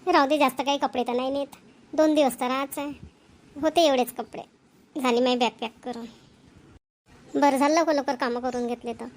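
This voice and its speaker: A woman's voice: high-pitched, steady tone, fairly quick, pauses often, lightly acted. A woman voicing a caring, dutiful young newlywed wife in a cartoon family comedy, with a Varhadi Marathi accent from Vidarbha. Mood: content.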